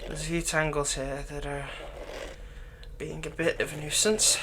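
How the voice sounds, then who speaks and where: A man playing a doting, lightly teasing fantasy villain captor in an ASMR roleplay, speaking softly and tenderly, coaxing the listener without hurry. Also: on the high side, little pitch movement, noisy background. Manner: soft, coaxing, unhurried, tender.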